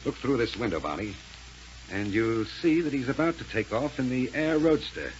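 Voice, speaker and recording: An actor playing a ruthless, impatient gang leader who snaps orders, in a 1930s radio serial style. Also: male voice, medium pitch, animated, normal speed, lightly acted. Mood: brisk, commanding. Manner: curt, bossy, snapping orders. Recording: muffled, noisy 1930s radio recording.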